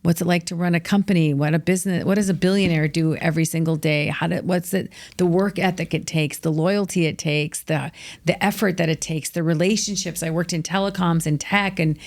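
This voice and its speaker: A middle-aged woman with an American accent tells her story with energy and emphasis. Her voice sits a little low. She leans on "like" as she talks. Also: a little fast. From United States. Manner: energetic, emphatic, filler-heavy with frequent "like". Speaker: guest, author. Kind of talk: candid storytelling interview.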